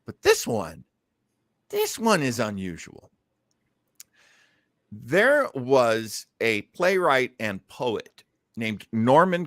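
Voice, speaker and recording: Animated man hosting a casual show. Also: medium pitch, no room sound, clean studio sound. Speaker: show host. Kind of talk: casual unscripted show presenting.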